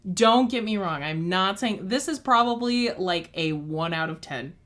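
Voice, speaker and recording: A woman co-hosting a casual podcast chat, enthusiastic and opinionated, breaking into excited bursts. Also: mid-range voice, a little slow. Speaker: podcast co-host.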